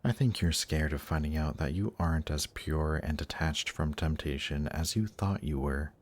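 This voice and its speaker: A man playing a suave demon prince in an intimate audio roleplay, speaking in a slow, seductive, gently coaxing way. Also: very deep voice. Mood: confiding, persuasive. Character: suave demon prince of Hell, tempting and reassuring. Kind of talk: intimate audio roleplay, scripted monologue to listener.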